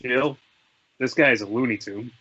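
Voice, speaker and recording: A man's voice: medium pitch, a little slow, a bit bright, breathy edge, highs cut off. Man reading a defiant, curt male commando. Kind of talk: screenplay table read.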